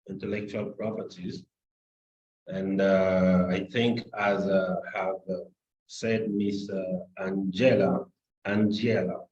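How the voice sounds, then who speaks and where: A man's voice: fairly low pitch, a little mellow. A man from Cameroon, a corporate lawyer introducing himself on a video call, hesitant and often correcting himself. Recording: echoey, dull video-call audio.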